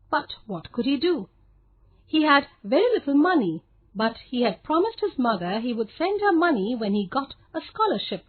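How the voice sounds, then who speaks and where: A woman's voice: high voice, breathy edge. A woman narrating a scripted story for children as a storyteller. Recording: phone-like narrow, lo-fi sound.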